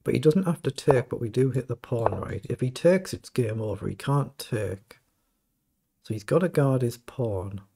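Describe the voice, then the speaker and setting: Croaky middle-aged male streamer, gravelly, mid-pitched, thinks aloud over games; noisy home recording with clicks. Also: expressive, moderate pace, dark tone. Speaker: chess streamer. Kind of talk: live game commentary.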